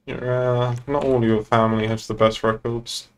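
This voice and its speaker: A young man streaming in-character game roleplay. His voice sits at a medium pitch and he speaks casually, politely but pointedly. There is faint noise behind him. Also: little pitch movement, normal speed. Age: young adult. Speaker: streamer playing a police boss in roleplay. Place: indoors at a streaming desk.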